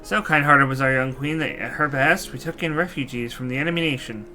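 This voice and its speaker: A man's voice: medium pitch, fairly even intonation, fast talker, bright, forceful tone. Guy doing an old robot knight from a fantasy game, solemn and formal, olde-timey wording, music under it. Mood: solemn, proud. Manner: formal, archaic, solemn storytelling. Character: courtly old knight reborn in a mechanical body. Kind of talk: fantasy game character dialogue. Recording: music behind the voice.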